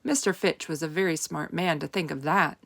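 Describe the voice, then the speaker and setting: A woman reading a children's storybook aloud as narrator, with expressive, deliberate emphasis. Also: sits low, creaky.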